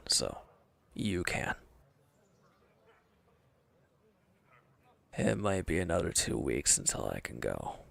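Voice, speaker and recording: A man playing a wounded, proud villain in an intimate audio drama, sullen and guarded, curt and halting in a breathy murmur. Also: deep voice, slight background noise, full character voice. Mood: awkward, guarded.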